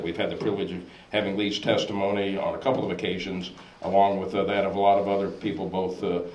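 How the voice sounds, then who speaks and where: A hoarse man, a state legislator speaking on a panel at a public forum, explaining in earnest detail with frequent restarts. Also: fairly low pitch, darkish tone. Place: church hall forum. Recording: echoey hall, distant mic, faint noise.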